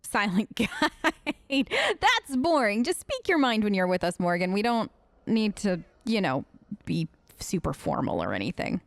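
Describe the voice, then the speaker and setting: A woman's voice: fairly low pitch, very animated, normal speed, vocal fry, no room sound. A woman gamer improvising her character's dialogue in a casual, friendly and slightly hesitant way. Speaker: gamer role-playing her character in a let's play.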